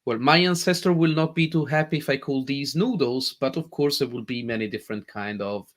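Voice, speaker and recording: A man with an Italian accent, a native Italian speaker, eagerly walking viewers through a live software demo as a tech presenter. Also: medium pitch, fairly quick. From Italy. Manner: eager, animated explaining.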